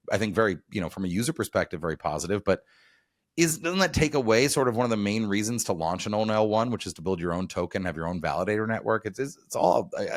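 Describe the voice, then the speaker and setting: Deep-voiced casual male podcast host. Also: dry recording, studio quality. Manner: casual, chatty, many "like" and "you know" fillers. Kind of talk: podcast interview.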